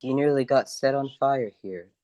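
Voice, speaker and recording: Guy playing loyal wry hero sidekick, medium pitch, dry close recording, radio play. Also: normal speed, dark tone, no room sound, natural voice.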